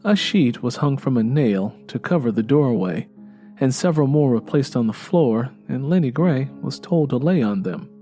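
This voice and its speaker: A man's voice: mid-range voice, expressive, normal speed, dark tone, faint music behind. A man reading scripted fiction as an audiobook narrator.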